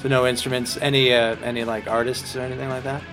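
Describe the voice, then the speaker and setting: A man's voice: mid-range voice, little pitch movement, quick pace, over music. A man interviewing a musician, asking questions in a hesitant way with frequent fillers.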